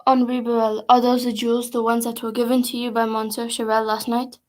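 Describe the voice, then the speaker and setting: Lady playing a stern official french police chief in an old radio play, formal, fairly high voice. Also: monotone, brisk, close to an everyday voice. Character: formal, authoritative female French police prefect. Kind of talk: old-time radio drama acting.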